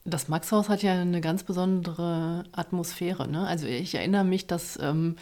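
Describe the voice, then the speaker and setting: A woman's voice: lowish voice, normal speed, darkish tone. A woman interviewer asking casual, conversational questions. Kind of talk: podcast interview.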